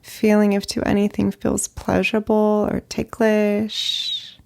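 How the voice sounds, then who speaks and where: A woman leading a guided meditation as a therapist, speaking gently in short phrases with long pauses. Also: medium pitch, completely flat delivery, gravelly. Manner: slow, gentle, pausing between short phrases.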